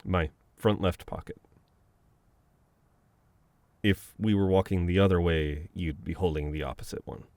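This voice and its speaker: A man playing a flustered, teasing, protective best friend in an intimate, conversational audio roleplay, with halting banter. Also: low-pitched, fairly even intonation, a little mellow, no room sound. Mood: teasing, gently firm.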